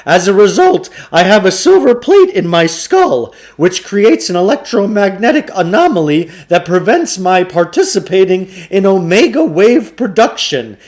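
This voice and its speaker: A man's voice: high voice, sing-song, moderate pace, few pauses, darkish tone, pitched above the performer's own voice. A man voicing an eccentric, grandiose shopkeeper from a storybook, with pompous, theatrical delivery. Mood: pompous, solemn. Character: eccentric male occult shopkeeper, grandiose, boastful showman. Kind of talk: acted storybook dialogue.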